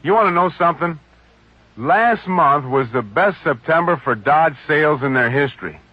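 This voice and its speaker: A man reading a radio commercial in a chatty, conversational pitch. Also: expressive, normal speed, no echo. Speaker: ad spokesman. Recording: dry, dull 1960s radio ad.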